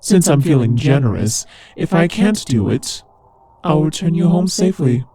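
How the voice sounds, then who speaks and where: A man's voice: fairly high pitch, animated, normal speed, mellow, a little hiss or hum. A man playing a teasing, sardonic demon ruler of hell in a scripted roleplay audio drama, with a conversational, theatrical delivery. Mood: businesslike, pleased.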